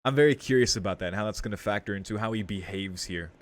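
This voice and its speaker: A man's voice: lowish voice, quick pace. A man doing a casual game playthrough, reading text aloud and commenting, with game music underneath. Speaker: game streamer.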